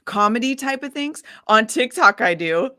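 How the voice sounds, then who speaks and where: A woman's voice: fairly high pitch, expressive, normal speed, bright, forceful tone. A woman musician being interviewed, talking in a sunny, bubbly and informal way. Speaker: interview guest, singer-songwriter. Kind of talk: casual interview chat.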